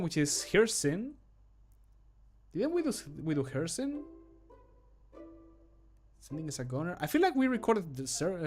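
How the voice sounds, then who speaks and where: Guy streamer just chatting while he works, bit higher voice, jokey n sweary, faint music. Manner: informal, jokey, profane. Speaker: streamer and amateur voice actor. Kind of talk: casual livestream chat while working. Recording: music in the background.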